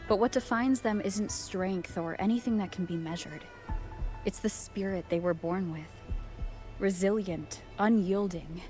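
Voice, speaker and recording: With music playing behind her, a woman acts a sincere young wandering heroine. Her voice sits at a medium pitch, and she speaks gently and reflectively, as in fantasy game dialogue. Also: lightly acted. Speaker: video game voice actor. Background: background music.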